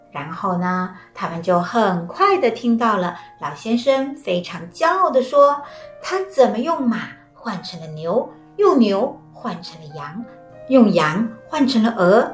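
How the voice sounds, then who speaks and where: A woman narrating a children's story in a lively, expressive way. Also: medium pitch. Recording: roomy, with faint music.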